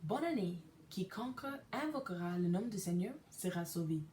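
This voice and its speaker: Woman, English-Canadian accent, reading in second language, hesitant, restarts words, low voice, roomy home recording. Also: animated, dark tone, slight background noise. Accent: English-speaking Canadian. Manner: hesitant, pauses with euh, restarts mispronounced words. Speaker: podcaster reading a devotional. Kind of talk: slow careful reading aloud in a second language.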